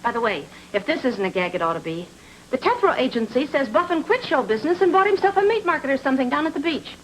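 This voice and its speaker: Casting: wry noir gal Friday, high voice, quick delivery, faintly noisy vintage recording. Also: female voice, very animated, fairly bright tone. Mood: wry, brisk. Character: brisk, wry female assistant to a detective. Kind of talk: 1940s film noir acting. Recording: old film soundtrack with faint noise.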